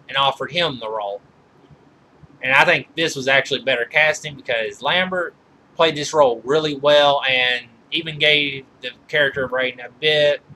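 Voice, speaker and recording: A man giving informal film trivia commentary as a reviewer, halting and often correcting himself mid-sentence. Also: mid-range voice, pushed and bright, a little hiss or hum, poor audio. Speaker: movie reviewer.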